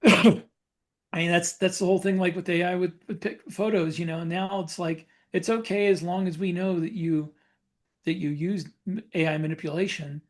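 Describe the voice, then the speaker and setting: A man chatting casually as a podcast co-host, thinking aloud in halting phrases that he often repeats. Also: sits high, fairly even intonation. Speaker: podcast co-host and fiction author.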